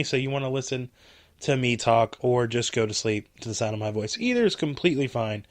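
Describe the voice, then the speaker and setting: Male podcast host, solo, monotone delivery with slight inflection, rambly, recovering from a cold, dead-dry room. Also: medium pitch, no echo. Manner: self-described monotone, rambling with many fillers. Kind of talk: casual solo podcast talk.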